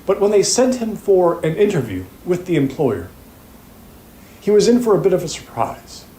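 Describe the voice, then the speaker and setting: A methodical male prosecutor, played by an actor, lays out his case to a jury in an audio drama. His voice sits a little high for a man and rings in an echoing room. He speaks deliberately and pointedly, leaving rhetorical pauses between phrases. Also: big pitch swings, warm tone, slight background noise. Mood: measured, serious. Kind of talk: courtroom opening statement, audio drama monologue. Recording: roomy, echoing sound.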